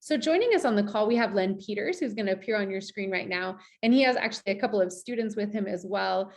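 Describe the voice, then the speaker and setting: A woman's voice: medium pitch, expressive, quick pace, darkish tone. A woman hosting a webinar over a video call, upbeat and gracious as she introduces speakers. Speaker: webinar host. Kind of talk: moderating a webinar.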